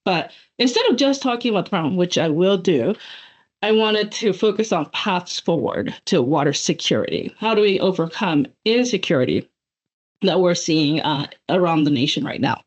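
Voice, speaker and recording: Animated Japanese-born woman lecturing over a call. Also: on the low side, normal speed. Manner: animated, chatty, frequent fillers and self-corrections. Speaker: guest speaker, associate professor. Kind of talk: webinar lecture. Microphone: computer mic on video call. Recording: dull lo-fi call audio.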